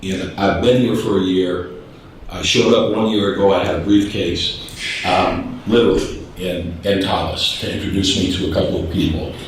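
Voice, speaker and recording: A man's voice: sits low, fairly even intonation, normal speed, slight background noise. A middle-aged man giving a candid, plain-spoken business talk, with many fillers and restarts, in a meeting room. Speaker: business presenter. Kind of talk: informal business presentation. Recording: echoey room, speaker far from the mic.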